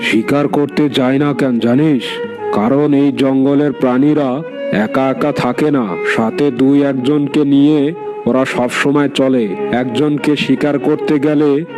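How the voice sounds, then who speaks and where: A man's voice: medium pitch, steady tone, takes it slowly, natural voice. A man voicing a lazy, cowardly lion king in an animal cartoon, commanding and scolding, with music behind him. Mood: bossy. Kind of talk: animal fable cartoon voice acting.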